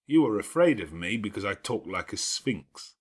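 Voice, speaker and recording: A man voicing a brooding, domineering, teasing middle-aged gentleman in a classic novel, speaking in a grandiloquent, sardonic and emphatic way. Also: fairly low pitch, very animated, normal speed, slightly rough. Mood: teasing, probing. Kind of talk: dramatized classic novel audiobook reading.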